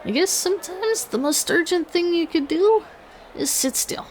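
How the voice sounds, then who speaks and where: A woman's voice: very high-pitched, expressive, normal speed, slight background noise. A woman playing a small, plucky young gnome adventurer with a theatrical, sing-song delivery in a fantasy audio story. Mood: resigned.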